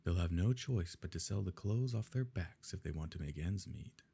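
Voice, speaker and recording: A possessive, smooth-mannered evil nobleman, played by a man in an ASMR romance drama. His voice is very deep and dark, with a gravelly grain. He speaks formally and with measured control, pressing his beloved with quiet coercion. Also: expressive, heavily acted. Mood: cold, menacing. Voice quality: low, husky, gravelly. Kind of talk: ASMR romance roleplay, scripted dramatic monologue.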